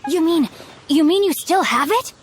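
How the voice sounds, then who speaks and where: A woman voicing a polite, eloquent young gentleman in a video game, refined and articulate. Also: extremely high pitch, lively intonation, moderate pace, slight background noise. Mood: courteous, eager. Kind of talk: English video game voice acting.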